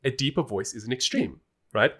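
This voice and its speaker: Guy hosting a podcast, mid pitched voice, chatty and emphatic, casually explaining stuff. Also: animated, brisk, pushed and bright, husky. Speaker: podcast host.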